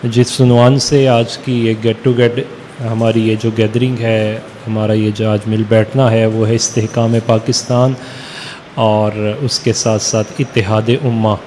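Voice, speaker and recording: A middle-aged Pakistani man, a religious scholar, giving a formal, somewhat halting convention speech into podium microphones. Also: on the low side, little pitch movement, dark tone. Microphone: podium microphones through a PA. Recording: reverberant PA hall with background noise.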